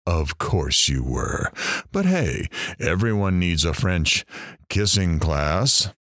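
A man performs an irreverent radio talk show DJ for an audio drama. His voice sits fairly low and swings widely in pitch, dry and close. He is sarcastic and showy. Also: sing-song, takes it slowly, fairly bright tone, no echo, heavily acted. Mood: mocking.

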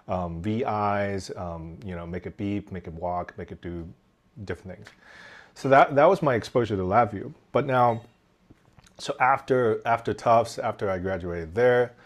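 A man in his forties from Taiwan, a podcast guest telling his story animatedly on a video call with a headset, often restarting his sentences. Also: low-pitched. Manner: animated, gestures while talking, frequent restarts. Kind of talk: casual interview storytelling. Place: home room indoors.

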